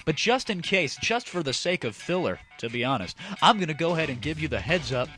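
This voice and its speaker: A man's voice: a little high, big pitch swings, brisk, a bit bright. A man hosting a gaming audio show with a sarcastic, theatrical, mock-grandiose comic monologue. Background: music.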